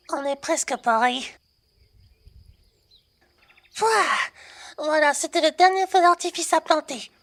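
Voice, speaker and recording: A woman playing a brash, cheeky young working girl in a French roleplay audio drama, talking in casual slang with a teasing manner. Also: high-pitched. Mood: frank, cheerful.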